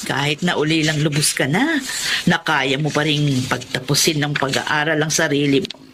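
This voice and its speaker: A woman's voice: low-pitched, lively intonation, normal speed, pushed and bright, slight background noise. A woman playing a friendly, trusting, well-off customer in a drama, with a Filipino accent.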